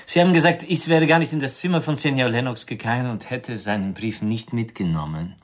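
An actor playing a polite, guarded Mexican hotel employee with a formal, courteous manner in a radio play. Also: male voice, medium pitch, a little fast, natural voice. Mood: politely affronted.

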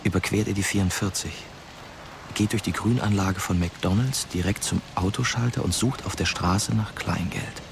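Low-voiced dead teenage narrator. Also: male voice, fairly even intonation, moderate pace, vocal fry, noisy background. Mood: tense, narrating. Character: dead teenage boy narrating his own fatal crash. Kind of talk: scripted radio drama narration. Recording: loud music under the voice. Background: loud music, vehicle sounds.

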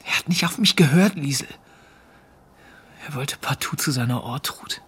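Husky, subdued young soldier. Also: male voice, mid-range voice, normal speed, a bit bright. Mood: weary, bitter. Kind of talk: radio drama acting, dialogue and narrated thoughts.

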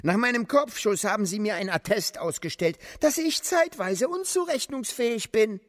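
Cheeky wartime soldier, sly, radio drama, animated intonation, long room reverb. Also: male voice, high-pitched, normal speed. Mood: smug, conspiratorial. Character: cheeky, sly reservist soldier in a hospital bed. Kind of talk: German radio drama acting. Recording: reverberant hall.

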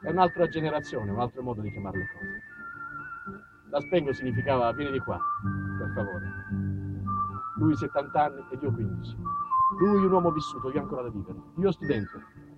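A man's voice: medium pitch, big pitch swings, brisk, over loud music. A man with a southern Italian accent performing a colloquial, theatrical autobiographical monologue with dramatic shifts of delivery. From southern Italy. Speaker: actor and storyteller. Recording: dull lo-fi stage recording, far from mic.

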